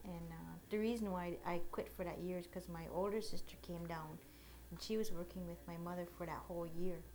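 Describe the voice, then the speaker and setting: A woman in her late thirties speaks as an interviewee in a casual conversation. Her voice sits a little low for a woman. She is plain-spoken and halting, and keeps her answers short. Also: steady tone, a little mellow, low-quality recording. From Oneida. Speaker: interviewee, basket maker.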